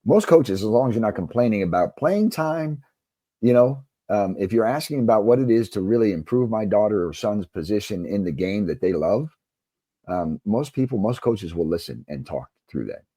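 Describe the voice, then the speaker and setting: A man's voice: lowish voice, animated, normal speed, warm tone, dry recording, clean studio sound. A middle-aged man answering as an interview guest in an earnest, conversational way, with frequent asides. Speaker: interview guest, basketball coach and author.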